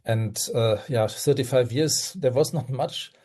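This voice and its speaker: Older German-accented man, mellow voice. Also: mid-range voice, measured pace. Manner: earnest, hesitant with fillers, laughs while speaking. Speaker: guest toxicologist. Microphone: video call.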